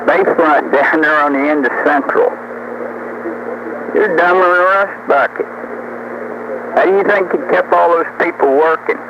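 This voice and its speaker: A man's voice: fairly high pitch, a little slow, pauses often. Older guy rambling and bragging on cb radio, insulting the other guy, dull lofi tape, lots of noise. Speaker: CB radio talker. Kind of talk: casual argumentative CB radio banter. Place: over CB radio, heavy noise behind. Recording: noisy, band-limited CB radio tape.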